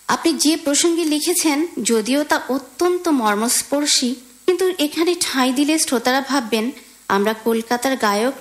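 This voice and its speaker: A woman co-hosting a radio show, reading out listener letters in an expressive, presentational way. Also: sits high.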